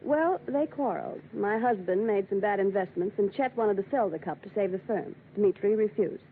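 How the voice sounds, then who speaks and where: An actress playing a scheming, mercenary wife with a cool, arch manner in a 1940s radio drama. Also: female voice, medium pitch, animated, normal speed, natural voice. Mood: anxious, explaining. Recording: tinny, faintly noisy old radio broadcast.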